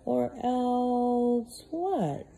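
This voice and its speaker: Lady reading as a soft-spoken curious little boy, slow and innocent. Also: medium pitch, very animated, vocal fry, slight background noise, pitched above the performer's own voice, full character voice. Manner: gentle, innocent questioning. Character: curious, polite young boy. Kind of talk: storybook read-aloud.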